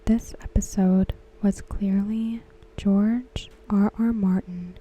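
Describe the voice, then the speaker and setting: A woman whispering softly and slowly as she recaps and discusses a TV episode for an ASMR video. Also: medium pitch, fairly even intonation, poor audio. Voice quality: breathy. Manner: slow, soft whispering. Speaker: ASMR creator. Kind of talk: soft-spoken ASMR recap.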